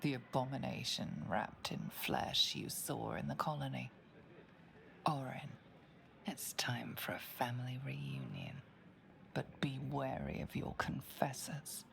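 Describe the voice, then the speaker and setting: An actress playing a grave, ominous storyteller, narrating a dark fantasy game in a measured, hushed, portentous way. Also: female voice, extremely low pitch, sing-song, creaky, whispered, exaggerated voice. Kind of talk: dramatic scripted game narration. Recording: music under the narration.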